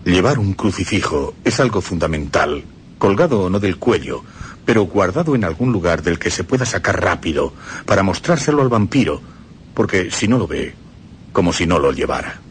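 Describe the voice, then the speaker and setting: A man's voice: deep voice, animated, moderate pace, a little hiss or hum. A man giving emphatic, instructive scripted narration for radio.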